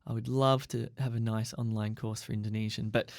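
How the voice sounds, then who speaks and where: A man's voice: lowish voice, flat delivery, fairly quick, gravelly, darkish tone, no echo, clean studio sound. Upbeat man hosting a podcast. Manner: enthusiastic, promotional, with many fillers. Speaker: podcast host. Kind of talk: casual podcast chat.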